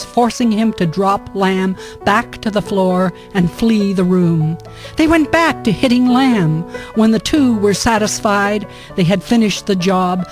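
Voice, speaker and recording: A woman's voice: mid-range voice, a little mellow. Woman narrating a historical drama over music. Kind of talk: scripted storytelling narration in a historical radio drama.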